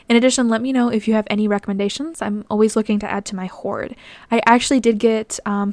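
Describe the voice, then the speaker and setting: A woman's voice: fairly high pitch, darkish tone, no room sound. A woman who reads fan fiction as narration and chats casually to listeners as the host. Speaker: podfic reader and channel host.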